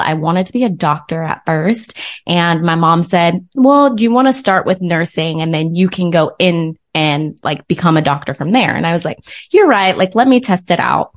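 An American woman with a slightly low-pitched voice, speaking as a guest in an interview. She tells personal stories in a chatty, informal way and slips quoted conversations into them. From born in Zimbabwe, raised in the United States. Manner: chatty, informal, animated retelling with quoted dialogue. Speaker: interview guest, business coach. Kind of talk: casual personal storytelling in an interview.

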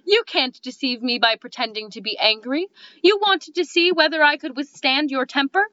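A woman's voice: high voice, expressive, moderate pace, sharp tone, no echo. A woman playing a prickly, fault-finding man in a cold read of a comic play, haughty and argumentative. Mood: taunting. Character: prickly, fault-finding man quarrelling with his lady friend. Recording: slightly dull lo-fi recording.

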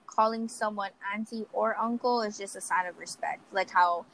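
A woman's voice: medium pitch, fairly even intonation, moderate pace, fairly bright tone, a little hiss or hum, low-quality recording. A woman in her twenties from Hawaii, co-hosting a podcast in casual, chatty talk, laughing often and using fillers. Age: 20s. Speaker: podcast co-host. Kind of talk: casual chat.

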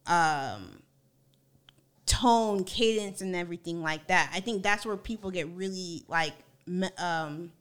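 A woman's voice: medium pitch, a bit bright, smooth. A woman co-hosting a casual faith discussion, reflecting and building her points as lists.

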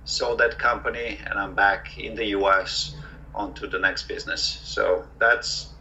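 A man in his forties from Bulgaria, with a fairly low but very bright, sharp voice. He talks slowly and reflectively, pausing often with ums and uhs, over faint background noise. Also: fairly even intonation, creaky, lo-fi sound. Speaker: entrepreneur guest. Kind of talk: conversational interview.

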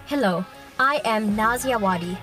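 A woman's voice: a little high, moderate pace. A young woman in her early twenties with a South African accent, giving a polished, formal pageant introduction. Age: early 20s. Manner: polished, formal, proud delivery. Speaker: pageant contestant. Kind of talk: scripted pageant introduction video. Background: music.

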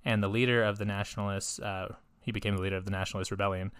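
A woman's voice: rumbling low, steady tone, rapid-fire, smooth, no echo, studio quality. A woman co-hosting a podcast in casual chat, rambling with lots of filler words and laughter. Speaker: podcast co-host.

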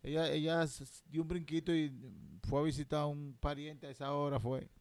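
A man with a Dominican Spanish accent in casual podcast banter, colloquial and quick to argue as a co-host. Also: fairly high pitch, flat delivery, a little mellow, clear, resonant tone, no room sound. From Dominican Republic. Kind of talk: casual chat.

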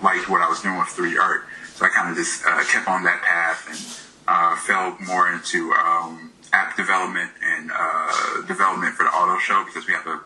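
A man's voice: low-pitched, little pitch movement, moderate pace, pushed and bright, low-quality recording. A man in his thirties from Michigan, an indie game developer, talking casually in an interview, hesitant with many fillers and laughs, his voice slightly worn.

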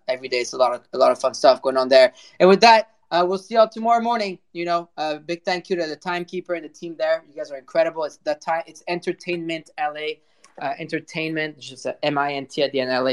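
A mid-pitched voice hosting a live audio show. The delivery is informal and slangy, with effusive praise and a loose, chatty flow. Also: clean tone. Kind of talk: casual chat hosting.

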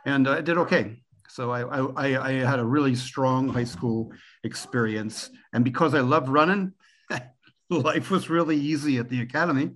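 A man in his sixties from Pennsylvania with an American accent, a guest on a podcast telling relaxed, rambling stories with the odd chuckle. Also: mid-range voice, moderate pace. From Pennsylvania, USA. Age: 60s. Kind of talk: relaxed conversational storytelling.

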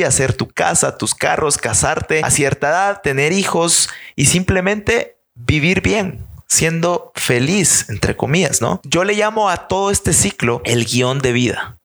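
A man's voice: mid-range voice, vocal fry, fairly bright tone. A man in his twenties with a Guatemalan accent, hosting a solo motivational podcast in an earnest, upbeat way with friendly tag questions. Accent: Guatemalan Spanish. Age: 20s. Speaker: podcast host.

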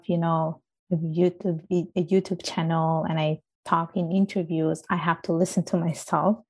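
Woman w/ a strong italian accent, gravelly low voice, earnest chat. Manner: warm, earnest, emphatic. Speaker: interview guest. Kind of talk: casual interview chat.

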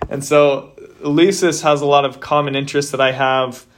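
A young male podcast host who sounds upbeat and eager. He speaks in a flat, even tone and uses casual slang. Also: medium pitch, normal speed, a little hiss or hum. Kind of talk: podcast interview.

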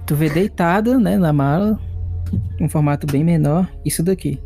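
A woman's voice: sits low, moderate pace, mellow. A woman with a Brazilian accent, narrating as game master of a tabletop horror-comedy game. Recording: music behind the voice.

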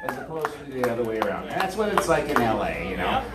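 Lively, crude Wisconsin-accented comic. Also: male voice, medium pitch, quick pace. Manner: crude, swearing, self-mocking jokes. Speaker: comedian. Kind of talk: stand-up comedy bits. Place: large hall. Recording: roomy hall, distant mic, loud crowd.

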